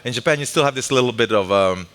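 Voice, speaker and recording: Fast-talking man in echoing hall. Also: mid-range voice, a bit bright. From Croatia. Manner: fast, loose, self-mocking, many restarts. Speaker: guest, tech company developer lead. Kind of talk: live stage interview. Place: stage at a live event. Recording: long hall reverb.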